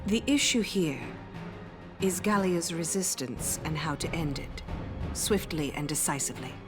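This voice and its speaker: An actress playing a devoted, poised military officer in a game cutscene, speaking formally and with deference. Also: female voice, low voice, unhurried, music in the background.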